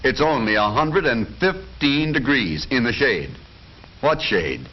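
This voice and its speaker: A man with an American accent delivers scripted documentary narration in the style of a 1940s newsreel announcer. His pitch is mid-range and moves around a lot, with a jaunty, folksy turn to the phrasing. The sound is dull and lo-fi, as on a vintage film soundtrack. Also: very animated, unhurried.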